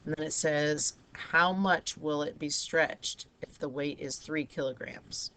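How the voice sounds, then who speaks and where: A woman teaching a math lesson with a raspy, hoarse voice, talking students through each step of the problems. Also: low-pitched, a little slow, pushed and bright, a little hiss or hum. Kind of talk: recorded math lesson over a digital whiteboard.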